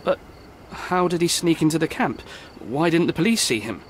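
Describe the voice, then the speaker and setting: A man's voice: a little high, lively intonation, moderate pace, slight background noise, natural voice. An actor playing a formal, put-upon male camp supervisor in a game, with a wry manner. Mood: wry, burdened. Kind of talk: dramatic game cutscene dialogue. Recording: faint night ambience behind.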